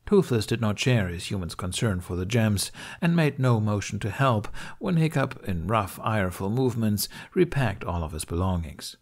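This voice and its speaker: A man's voice: lowish voice, big pitch swings, moderate pace, few pauses. A man reading scripted fiction as an audiobook narrator.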